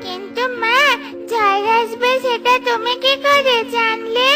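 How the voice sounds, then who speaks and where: A woman's voice: extremely high pitch, steady tone, takes it slowly, over music, pitched above the performer's own voice. A woman voicing a dutiful, curious little daughter bird in a children's cartoon. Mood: curious, obedient.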